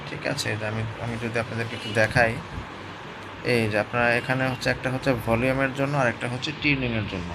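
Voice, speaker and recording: Over clear background noise, a man presents a product review. He talks casually and explains things hands-on, pausing to check that viewers are following. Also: mid-range voice, fairly even intonation. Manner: explanatory, often checks in with listeners.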